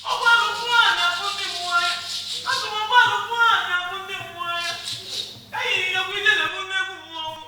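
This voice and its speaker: Woman performing as a fast, piercing Igbo chanter in an echoing hall. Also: very high voice, fairly even intonation. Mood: rousing, declamatory. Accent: Nigerian Igbo. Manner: loud, incantatory, repetitive calls. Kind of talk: declamatory stage chant. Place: stage in a large echoing hall, distant mic. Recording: echoey hall from far off, music behind.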